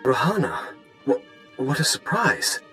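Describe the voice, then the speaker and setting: A man's voice: mid-range voice, wildly swooping pitch, slow pace, pushed and bright, faint music behind, heavily acted. An actor voicing a stern, righteous middle-aged male warrior monk in a video game, speaking formally and earnestly. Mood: polite, guarded. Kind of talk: dramatic video game cutscene acting.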